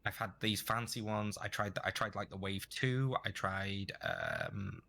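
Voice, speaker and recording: Low bright-toned man, rambling chat. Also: very animated, a little slow, creaky. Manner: rambling, many restarts. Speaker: guest streamer. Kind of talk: casual interview chat.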